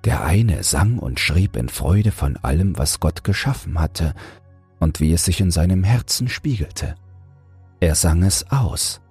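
A man's voice: sits low, animated, measured pace, darkish tone, slightly rough, no room sound, faint music behind. A man narrating a fairy tale as a scripted bedtime audiobook.

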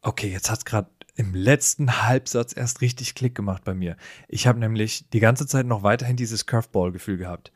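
A man's voice: on the low side, normal speed, no room sound, clean studio sound. A man co-hosting a podcast, thinking aloud in casual conversation and often checking that he has understood. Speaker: podcast co-host. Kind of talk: casual reflective podcast chat.

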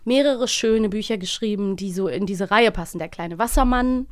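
A woman's voice: medium pitch, normal speed, clean tone, dry recording, clean studio sound. A woman co-hosting a podcast in casual, playful conversation. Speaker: podcast co-host.

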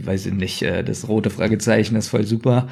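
A man's voice: lowish voice, fairly even intonation, a little fast, creaky, mellow, slightly rough. A man co-hosting a podcast in casual banter, joking and teasing and cutting in with questions. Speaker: podcast co-host.

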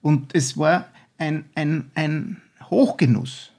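A man's voice: fairly high pitch, slow, a little mellow. An older man with an Austrian accent, a guest chef talking enthusiastically in a podcast chat, with many restarts.

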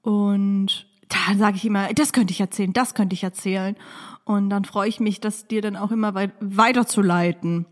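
A woman's voice: medium pitch, fairly even intonation, normal speed. A woman co-hosting a podcast in casual, chatty conversation, often repeating herself. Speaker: podcast co-host. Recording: long reverberant hall sound.

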